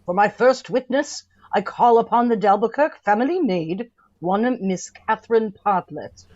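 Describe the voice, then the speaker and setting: A woman's voice: medium pitch, expressive, moderate pace, darkish tone, dull audio, close to an everyday voice. A woman playing a formal, authoritative coroner questioning at an inquest in a period radio drama. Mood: official.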